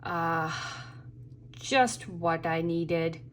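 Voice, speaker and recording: A woman voicing an easygoing, groovy male cat musician from a children's storybook in a laid-back, upbeat, unhurried manner. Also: on the low side, a little hiss or hum, voice pushed down. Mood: tired, relaxed.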